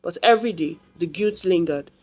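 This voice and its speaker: A woman's voice: fairly low pitch, normal speed, darkish tone, breathy edge. A woman reading a scripted folktale as a storyteller.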